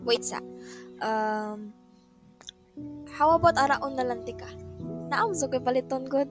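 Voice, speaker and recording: A woman plays a young girlfriend in a radio drama, trusting but anxious, with a Filipino accent. Her voice is high, and music plays loudly behind her. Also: measured pace. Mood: anxious, hopeful.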